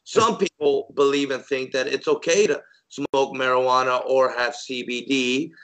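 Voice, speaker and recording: A man's voice: mid-range voice, steady tone, measured pace, a bit bright, poor audio. A man in his thirties hosting an interview, encouraging his guest with affirming interjections. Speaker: host interviewer.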